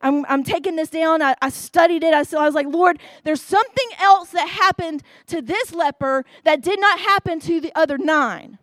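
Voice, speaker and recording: A woman with a Southern US accent preaching a sermon, stressing her words slowly and with emphasis. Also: very high voice, fairly bright tone, dry recording.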